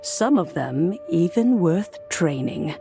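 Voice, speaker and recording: A gracious, wise figure from a video game. Her voice is fairly low, measured and refined, gently persuasive, with soft music behind. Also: sing-song, creaky, heavily acted. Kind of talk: game voice acting.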